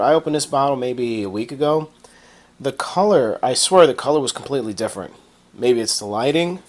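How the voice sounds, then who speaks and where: Stuffy-nosed man giving a casual review. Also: mid-range voice. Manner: relaxed and chatty, with frequent ums and restarts. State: stuffed up from a cold. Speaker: video maker reviewing products.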